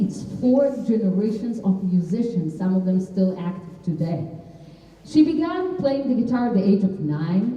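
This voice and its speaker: A woman, hosting a live concert and introducing songs and composers in a relaxed, explanatory way. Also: medium pitch. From Israel. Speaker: concert host and bandleader. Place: library concert hall. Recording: echoey hall, distant dull mic.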